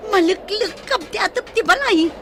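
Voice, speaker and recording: High-pitched scolding Filipino mother. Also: expressive, moderate pace, noisy background. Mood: worried, scolding. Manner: exasperated, nagging. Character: scolding, worried village mother and nagging wife. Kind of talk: Filipino radio comedy drama acting.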